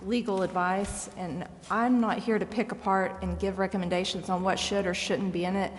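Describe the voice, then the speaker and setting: A woman's voice: medium pitch, steady tone, normal speed. A woman speaking as a council member in a meeting, informal and plain-spoken. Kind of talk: meeting discussion. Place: indoor council chamber. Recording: reverberant meeting chamber with background noise.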